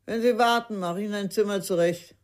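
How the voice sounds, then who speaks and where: An actor in a radio crime drama playing a wary, nosy village innkeeper, guarded and curt. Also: male voice, high-pitched, quick pace, dry recording, clean studio sound, natural voice.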